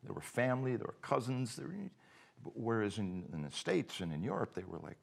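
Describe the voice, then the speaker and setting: Man, Cape Breton accent, very low voice, gravelly, lively pitch, storytelling. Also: fairly quick. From Cape Breton, Nova Scotia, Canada. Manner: animated storyteller, acts out quoted dialogue. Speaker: festival co-founder. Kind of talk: live storytelling chat.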